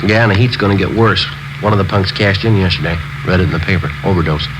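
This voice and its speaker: An actor playing a tough undercover cop posing as a wary drug buyer, terse and clipped, in a 1950s radio crime drama. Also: male voice, sits low, quick pace, background noise, natural voice. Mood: guarded, streetwise. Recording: old 1950s radio broadcast recording.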